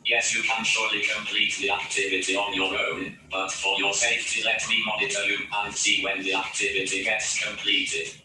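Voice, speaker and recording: A man's voice: lowish voice, flat delivery, normal speed, hardly any pauses, sharp tone. A synthetic male voice as a robot assistant, giving stiff, scripted instructions. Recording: very echoey, distant playback with music.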